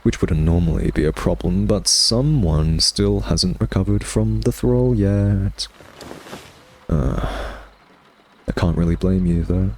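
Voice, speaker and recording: A man playing a smug, hungry vampire who teases and taunts sardonically in an intimate ASMR roleplay monologue. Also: deep voice. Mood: hungry, impatient. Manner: sardonic, taunting, drawling, theatrical. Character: smug, teasing, hungry male vampire nemesis.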